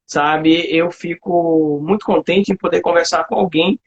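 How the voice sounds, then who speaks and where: High-voiced Bahian man in a lo-fi livestream. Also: fairly even intonation, a little slow, husky. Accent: Bahian Brazilian Portuguese. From Salvador, Bahia, Brazil. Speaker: host, literature teacher. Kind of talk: casual live chat. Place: online video live, remote call. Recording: dull lo-fi livestream audio.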